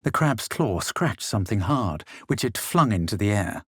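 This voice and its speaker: An actor playing a self-assured, haughty fantasy adventurer in a video game, husky and dramatic in delivery. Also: male voice, fairly low pitch, sing-song, no room sound, studio quality. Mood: grave, measured. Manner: measured, dramatic, superior. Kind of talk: scripted game character lines, first-person narration and spell incantations.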